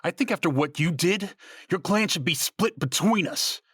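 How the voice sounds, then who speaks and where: A man's voice: high voice, animated, normal speed, fairly bright tone, slightly rough, dry recording, clean studio sound, heavily acted. A man playing a mocking, vindictive vampire clan leader in an audio drama, with sardonic delivery. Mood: scornful. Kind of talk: dramatic audio fiction acting.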